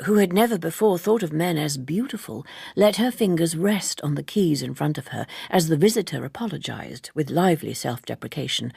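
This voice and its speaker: A woman reading literary narration as an audiobook narrator, in a measured, precise and formal way. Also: low voice, creaky, darkish tone, slightly rough, no room sound, studio quality.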